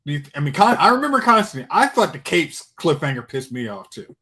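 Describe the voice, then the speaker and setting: A man's voice: a little high, big pitch swings, quick pace, bright, forceful tone. A man co-hosting a podcast, chatting casually about TV shows in a conversational way. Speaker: podcast co-host.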